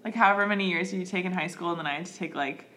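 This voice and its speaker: A woman's voice: on the low side, rapid-fire, pushed and bright. A woman co-hosting a casual podcast, making dry, wry remarks. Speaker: podcast co-host. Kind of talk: casual chat.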